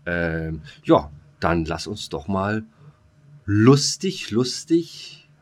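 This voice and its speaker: A man with a fairly low voice chats casually as a podcast host. He rambles in a joking way and often repeats his phrases as he goes. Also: sing-song, very slow. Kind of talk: casual comedic podcast chat.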